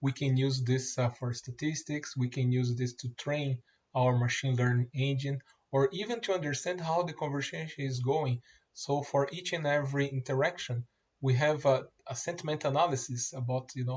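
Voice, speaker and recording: Male presenter, native Portuguese speaker, demoing software, mid pitch, halting delivery, muffled recording. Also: steady tone. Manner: halting, many fillers and restarts. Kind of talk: screen-share product demo.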